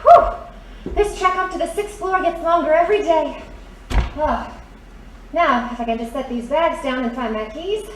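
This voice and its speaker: A woman's voice: high voice, normal speed, pauses often, lightly acted. A woman playing a perky, doting girlfriend in an audio drama, chirpy, coaxing and playful. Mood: cheerful, coaxing. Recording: roomy, distant, faint noise.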